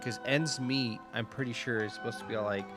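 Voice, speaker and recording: A woman's voice: rumbling low, steady tone, a little fast. A woman streamer reacting casually to a game, with a goofy, jokey, slangy way of talking. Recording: loud game music behind.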